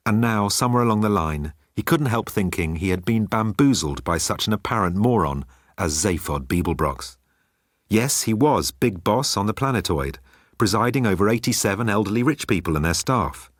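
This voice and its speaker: A man's voice: fairly low pitch, dry recording, clean studio sound. A man reading scripted comic science fiction as an audiobook narrator.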